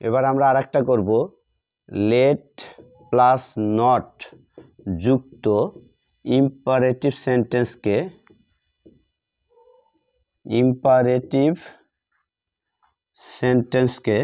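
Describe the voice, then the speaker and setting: Slow-talking male teacher, mellow voice. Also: medium pitch, little pitch movement. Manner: deliberate, repeats phrases in short fragments. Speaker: English grammar teacher. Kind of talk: step-by-step lesson, dictating while writing.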